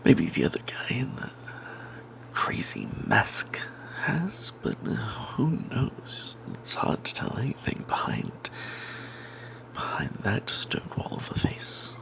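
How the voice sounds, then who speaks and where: A sly, money-minded cleric adventurer, acted by a man, whispers his fantasy tale in a slow, breathy voice. The tone is confiding and sardonic, with a crackly fry at the edges and hesitant asides. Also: mid-range voice, a bit bright, heavily acted. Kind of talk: first-person fantasy tale told in whispers, ASMR.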